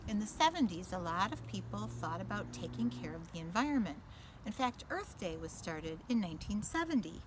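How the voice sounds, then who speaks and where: A woman in her fifties, a teacher reading a children's picture book aloud in an expressive, deliberate way. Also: medium pitch. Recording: roomy echo, faint background noise.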